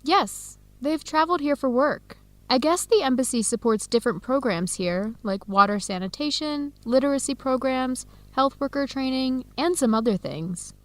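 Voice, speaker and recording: Casting: eager young woman student, higher voice, clear simple delivery for learners, close dry radio-drama sound. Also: lively intonation, normal speed, no room sound. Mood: informative. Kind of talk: scripted educational radio drama dialogue.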